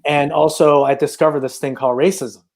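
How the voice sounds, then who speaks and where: A man's voice: a little high, level tone, a little fast, faint music behind. A man from China, a native Chinese speaker, telling his life story in a casual podcast interview, thoughtful and full of restarts. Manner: reflective, hesitant, many restarts. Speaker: podcast guest, filmmaker.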